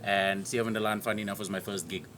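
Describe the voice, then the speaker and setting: Casting: young man, Afrikaans first language, low voice, wisecracking guest on a noisy recording. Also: level tone. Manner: joking, playful, quick comebacks. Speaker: podcast guest, stand-up comedian. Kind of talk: casual chat. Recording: noisy background.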